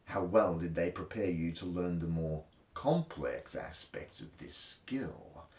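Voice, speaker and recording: Slow, theatrical man with wide-swinging pitch. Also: animated. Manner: melodramatic, with swelling emphasis and hushed drops. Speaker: host reading a textbook aloud. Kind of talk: theatrical dramatic reading. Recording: roomy echo, distant microphone.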